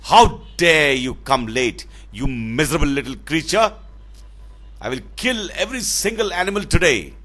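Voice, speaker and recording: A man voicing a vain, foolish lion king in a storybook, roaring threats with drawn-out words. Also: on the high side, lively intonation, a bit bright, pitched above the performer's own voice. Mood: furious.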